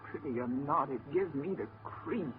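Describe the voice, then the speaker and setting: An actor playing a nervous, wary, plain-spoken companion in a 1940s radio horror drama. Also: male voice, on the high side, animated, moderate pace, lightly acted. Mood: uneasy. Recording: muffled, noisy 1940s radio recording.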